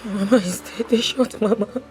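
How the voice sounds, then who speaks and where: An actress playing a grieving relative in a film drama, halting and sorrowful. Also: female voice, medium pitch, darkish tone, lightly acted. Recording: prominent music under the dialogue. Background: music.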